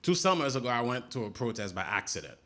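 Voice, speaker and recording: A Haitian man whose first language is Haitian Creole, performing an animated stand-up set on a comedy stage and jumping into high mock voices for punchlines. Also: quick pace. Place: comedy stage before a laughing crowd. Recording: loud noise, audience laughter.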